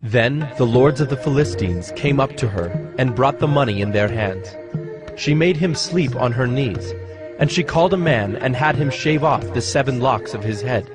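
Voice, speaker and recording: A man's voice: mid-range voice, expressive, moderate pace. A man giving scripted narration for a dramatized scripture recording, with music behind him.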